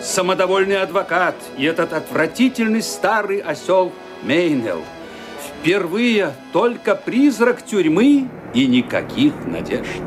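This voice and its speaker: A man narrating a radio play with intense, expressive storytelling. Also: high voice, measured pace, over music.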